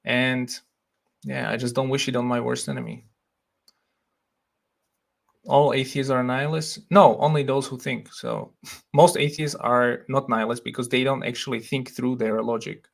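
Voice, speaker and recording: Gravelly Slovak man chatting on a livestream. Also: mid-range voice, normal speed, long pauses. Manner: relaxed, laughs often, thinks aloud. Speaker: livestream host. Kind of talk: casual livestream chat reading comments.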